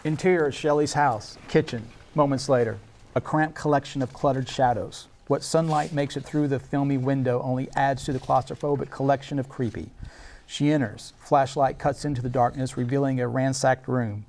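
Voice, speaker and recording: A man's voice: medium pitch, steady tone, moderate pace, darkish tone. Man narrating screenplay stage directions. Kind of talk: scripted narration at a screenplay table read.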